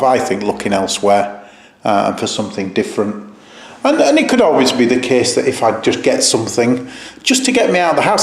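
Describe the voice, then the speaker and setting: A man in his fifties talking casually in a personal video diary, rambling and hesitant in a matter-of-fact way. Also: medium pitch. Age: 50s. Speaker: vlogger. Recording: echoey room.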